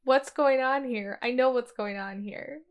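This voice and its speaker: A woman talks casually as a player in a tabletop game podcast. Her voice sits fairly high, and she takes her time, reacting informally to the story. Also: measured pace, clear, resonant tone, muffled recording. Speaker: player in an actual-play podcast.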